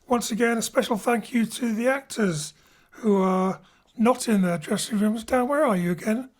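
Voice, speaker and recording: A woman's voice: on the high side, moderate pace. A woman hosting a post-show interview as director, with reflective, rambling questions. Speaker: director and host.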